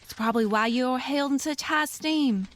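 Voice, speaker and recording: A woman's voice: sits high, moderate pace, lightly acted. A woman playing a glamorous, sardonic rich wife in a noir radio drama, delivering sugary sarcasm. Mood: sweetly sarcastic.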